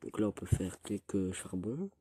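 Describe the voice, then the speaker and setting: Teen boy with a cold, husky and self-pitying, chatting over gameplay. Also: low-pitched, animated, dark tone, dry recording. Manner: rambling, self-pitying, halting restarts. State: stuffy with a cold. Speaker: gaming youtuber. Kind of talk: casual gameplay commentary.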